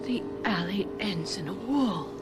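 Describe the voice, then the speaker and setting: An actress playing a desperate, sharp-tongued middle-aged mother in a radio mystery drama, with urgent dramatic delivery. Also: mid-range voice, expressive, a little slow, loud music behind the voice, close to an everyday voice. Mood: anxious, insistent.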